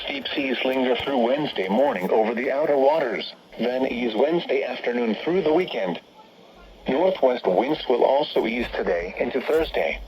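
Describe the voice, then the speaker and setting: A man's voice: mid-range voice, moderate pace, gravelly, crowd noise. A synthetic male voice with an American accent reads a scripted weather forecast in stilted, robotic phrasing as an automated radio announcer. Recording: noisy, dull weather radio broadcast. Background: steady radio background noise.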